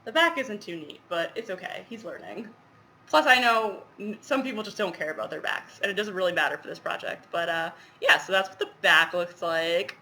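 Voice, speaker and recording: A woman's voice: lowish voice, brisk, bright, forceful tone, low-quality recording. A woman with a stuffy head cold, chatting casually and rambling with lots of fillers as she shows her stitching projects. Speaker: cross-stitch vlogger. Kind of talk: casual show-and-tell chat.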